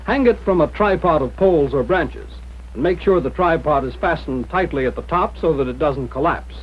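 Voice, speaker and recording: A man's voice: on the high side, lively intonation, moderate pace, breathy edge. Muffled 1940s training film narrator, American man. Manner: plain-spoken, instructive, direct. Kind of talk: scripted 1940s instructional narration.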